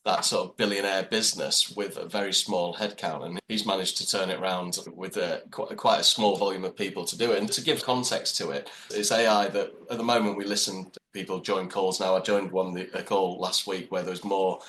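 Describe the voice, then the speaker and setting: A man's voice: sits low, fairly even intonation, brisk, hardly any pauses, fairly bright tone, slight background noise, rough recording. A man co-hosting a podcast, talking casually and rambling with the odd laugh. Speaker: podcast co-host. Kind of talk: casual chat.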